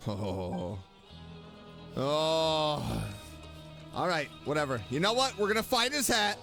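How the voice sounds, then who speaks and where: A woman gives casual game commentary as she plays. She takes her time but swings widely in pitch, joking and sceptical in her reactions, with faint music behind. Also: lively intonation, slow pace, fairly bright tone. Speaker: streamer playing a story game.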